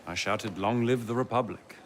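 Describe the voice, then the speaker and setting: An actor playing an urbane, wry army officer in a video game cutscene, speaking with formal eloquence and dry wit. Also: male voice, lowish voice, moderate pace, a little hiss or hum, close to an everyday voice. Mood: weary, cynical.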